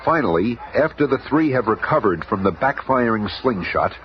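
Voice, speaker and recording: An actor as a dramatic narrator, giving emphatic scripted narration in a 1970s radio serial. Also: male voice, mid-range voice, lively intonation, normal speed, close to an everyday voice. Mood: suspenseful. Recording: muffled, noisy old radio recording.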